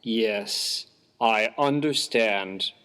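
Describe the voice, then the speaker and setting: Man playing a slow, pompous, bewildered clergyman. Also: fairly low pitch, bright, forceful tone, clear, resonant tone, exaggerated voice. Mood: solemn, relieved. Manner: formal, pompous, precisely worded. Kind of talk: dramatized reading of a humorous story.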